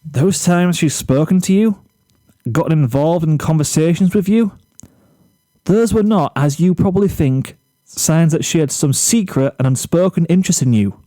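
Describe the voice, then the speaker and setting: A man's voice: fairly high pitch, dark tone, no room sound, pitched above the performer's own voice, natural voice, poor audio. Man as firm, exasperated teenage boy. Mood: angry, emphatic. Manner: firm, emphatic, deliberate. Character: exasperated, blunt teenage boy lecturing a schoolmate. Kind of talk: storybook character dialogue.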